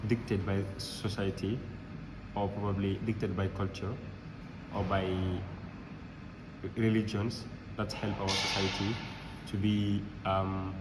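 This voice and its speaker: A young man from Guinea, a student, answering hesitantly with fillers. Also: lowish voice. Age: young adult. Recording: echoey hall with loud noise.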